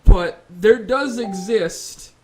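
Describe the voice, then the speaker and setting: A man with a notably high-pitched voice moderates an informal discussion. He talks conversationally, as one panelist among others. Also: drawn-out words, darkish tone, slight background noise, poor audio.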